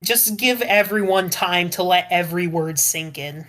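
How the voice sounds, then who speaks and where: A woman's voice: lowish voice, unhurried, fairly bright tone. A woman chatting casually as a podcast panelist, speaking in an earnest, encouraging manner. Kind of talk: casual group chat.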